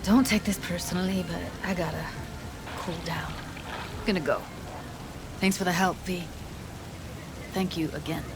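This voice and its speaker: A woman's voice: lowish voice, steady tone, unhurried, pauses often, music in the background, natural voice. An actress playing a hotheaded, cynical but loyal young woman with a blunt, slangy way of talking in a video game. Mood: subdued, grateful. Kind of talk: video game cutscene acting, naturalistic dialogue.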